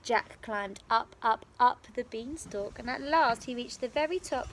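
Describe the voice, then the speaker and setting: A woman telling a story to young children as a teacher would, with expressive, dramatic and careful phrasing, recorded outdoors. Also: a little high, measured pace. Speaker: primary school teacher. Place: outdoors in a garden. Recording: faint outdoor background noise.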